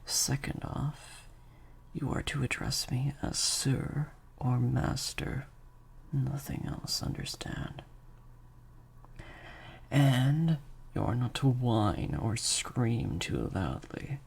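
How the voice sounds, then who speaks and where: A man playing a slightly sadistic, controlling vampire master in an intimate improvised audio roleplay, hushed and deliberate, with long pauses. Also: mid-range voice, expressive, heavily acted. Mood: stern, condescending. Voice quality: breathy, creaky half-whisper. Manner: slow, deliberate, hushed delivery with drawn-out pauses.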